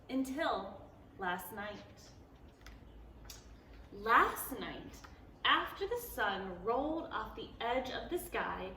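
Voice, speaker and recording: A woman reading a picture book aloud to preschoolers at a library storytime, animated and playful, stopping to ask the children questions. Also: a little high, slight background noise. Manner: playful, gasps and exclaims at the story. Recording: echoey room, voice a bit far from the mic.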